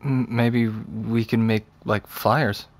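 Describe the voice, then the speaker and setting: A man playing an awkward, kind seventeen-year-old boy in an audio drama, speaking haltingly. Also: fairly low pitch, flat delivery. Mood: concerned. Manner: hesitant, halting. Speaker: actor. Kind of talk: naturalistic audio drama acting.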